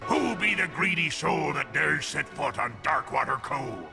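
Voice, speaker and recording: An actor playing an imperious, threatening undead captain with an in a video game. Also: male voice, fairly high pitch, expressive, measured pace, gravelly, over music, full character voice. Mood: imperious menace. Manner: grandiose, threatening. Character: menacing undead pirate captain ghost, cursing and imperious. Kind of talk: video game villain acting.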